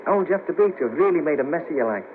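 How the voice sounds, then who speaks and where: A man's voice: on the high side, lively intonation, racing, phone-quality sound, lightly acted. An actor playing a world-weary, scheming ex band manager, down on his luck, in a colloquial 1970s radio drama. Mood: dry. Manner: wry, persuasive, colloquial. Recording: patchy noisy cassette of 1970s radio broadcast.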